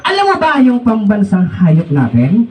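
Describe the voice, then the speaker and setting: A man's voice: high voice, huge pitch swings, moderate pace, darkish tone. A Filipino man hosting playful comedy banter, asking and repeating questions and often laughing as he talks. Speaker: comedy show host interviewing a performer. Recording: distant mic with crowd noise.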